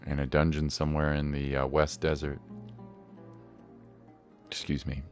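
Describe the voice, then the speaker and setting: Very deep-voiced, slow male gamer. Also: completely flat delivery, darkish tone. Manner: muttering to himself, humming, playful asides. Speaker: gaming streamer. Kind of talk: casual gameplay commentary. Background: video game music.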